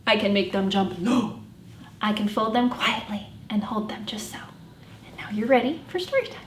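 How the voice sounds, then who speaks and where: A woman's voice: mid-range voice, lively intonation, normal speed. A woman presenting a children's story time as a librarian, with greetings and rhymes for young children. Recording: echoey room with faint background noise.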